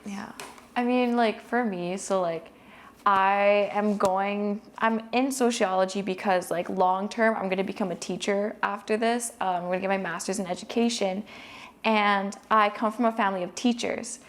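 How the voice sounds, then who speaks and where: A young woman from Hawaii in casual panel chat, relaxed, with many fillers. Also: medium pitch, normal speed. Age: young adult. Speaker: student panelist.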